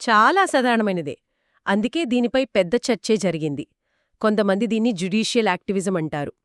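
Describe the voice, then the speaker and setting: A woman speaks as an explainer in a two-person discussion, with an assured manner. Her pitch is average for a woman but moves around in a lively way. The sound is very dry, with no room echo. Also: fairly quick. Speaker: explainer co-host.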